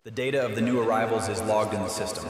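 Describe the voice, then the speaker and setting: A man's voice: lowish voice, fairly even intonation, fast, crowd noise, natural voice. A man delivering scripted lines as a factory worker in a documentary. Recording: echoey hall, far off, noisy background.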